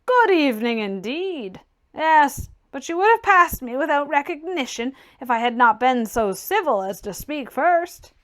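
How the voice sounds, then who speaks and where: A woman acts out a brash, teasing bachelor gentleman from a classic novel. The delivery is theatrical and mocking, with emphatic, high-pitched swells. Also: big pitch swings, unhurried, exaggerated voice. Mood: brisk, teasing. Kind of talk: audiobook character dialogue.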